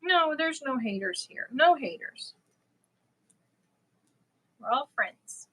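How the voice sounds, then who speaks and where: A woman's voice: high-pitched, sing-song, slow, pushed and bright, poor audio. A woman from Germany, chatting casually and a little nervously as she hosts a live stream, prone to giggling. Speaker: crochet live stream host.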